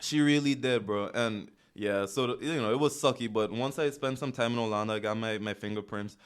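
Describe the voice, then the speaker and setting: A man's voice: on the low side, brisk, smooth. A young man from the Caribbean with a West Indian accent, telling stories as co-host of a casual podcast chat. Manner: relaxed storytelling with slang.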